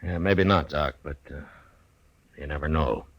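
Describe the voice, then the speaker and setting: Western radio lead: stern American lawman, very low voice, steady, clipped, dry authority, vintage recording. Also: normal speed, noisy background. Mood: dry, guarded. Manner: terse, dry, commanding. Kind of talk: old-time radio western acting.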